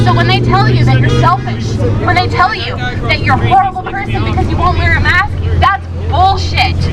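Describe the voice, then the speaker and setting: A woman declaims to an outdoor rally in a very high voice. She sounds some way from the mic amid loud crowd and traffic noise, repeating phrases for emphasis in an impassioned speech. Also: recorded from a distance, poor audio.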